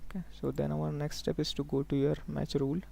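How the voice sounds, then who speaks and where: A man working as a software trainer, giving a step-by-step live tutorial in an instructional way, often prompting listeners to follow along. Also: fairly high pitch, little pitch movement, a little fast, vocal fry, slight background noise. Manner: instructional, often checking in with okay and look here.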